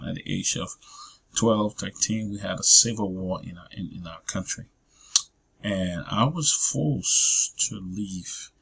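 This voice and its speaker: A man, giving a personal testimony talk as a guest speaker, hesitant with many drawn-out fillers and restarts. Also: low-pitched, a bit bright, husky, low-quality recording. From Liberia. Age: 40s.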